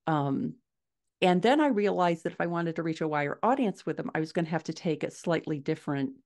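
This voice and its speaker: Low-voiced middle-aged woman explaining. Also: expressive, a little fast, a little mellow. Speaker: podcast guest, fiction author. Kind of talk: conversational interview, explaining. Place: indoors at home, small furnished room. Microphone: video call.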